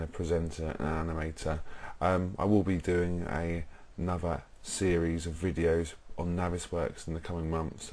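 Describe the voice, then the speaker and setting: A young British man presenting a software tutorial, explaining informally step by step in a husky voice. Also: low voice. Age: young adult.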